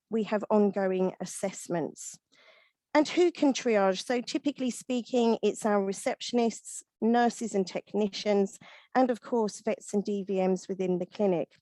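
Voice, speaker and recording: A husky-voiced woman in her thirties, leading an online webinar. Her tone is mellow and soft-edged, and the sound is close and dry. She explains at an even pace and runs through lists with the odd "um". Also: mid-range voice, no echo, poor audio. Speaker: webinar presenter and veterinary nurse. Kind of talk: presenting a product webinar.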